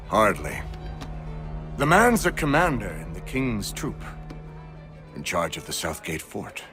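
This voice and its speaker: Sardonic low-voiced gentleman, lively intonation. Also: slow pace, long pauses, over music. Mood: grave, knowing. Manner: dry, theatrical, articulate. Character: sardonic, bitter male captive turned informant.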